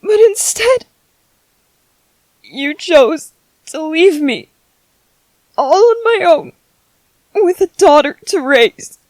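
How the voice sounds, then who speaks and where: A woman voicing a grieving young widow and mother in a dramatic monologue, slow and heavy with sorrow. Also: high-pitched, darkish tone, no echo, low-quality recording. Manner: slow, heavy with grief, at times tearful.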